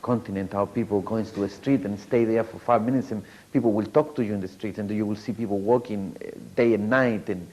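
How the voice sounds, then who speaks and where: A man's voice: fairly low pitch, fairly even intonation, fairly quick, hardly any pauses, darkish tone, poor audio. A man, a community organizer giving a hesitant documentary interview full of fillers and restarts. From Latin America.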